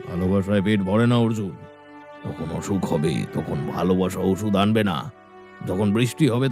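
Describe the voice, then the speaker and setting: A man's voice: on the low side, darkish tone. A man playing a harsh, scornful rich village father in a cartoon, mocking, scolding and commanding.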